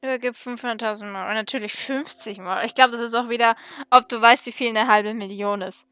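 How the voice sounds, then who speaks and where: A woman's voice: fairly high pitch, steady tone, a little fast, sharp tone, clean tone, soft background music. A woman gaming streamer giving casual let's play commentary and reading dialogue choices aloud.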